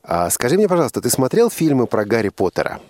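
A man's voice: medium pitch, sing-song, fast, darkish tone, no room sound. A man hosting an informal radio interview, probing by repeating and rephrasing his questions. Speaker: radio host interviewing a young guest.

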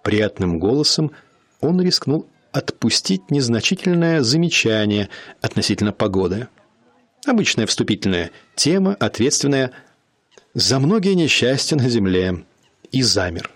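A man narrating a radio play in a measured, expressive literary reading. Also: medium pitch, dry recording.

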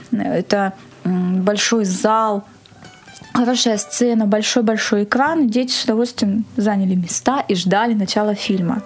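A woman being interviewed as an event organiser, talking at length in a casual way and rephrasing for emphasis. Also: mid-range voice.

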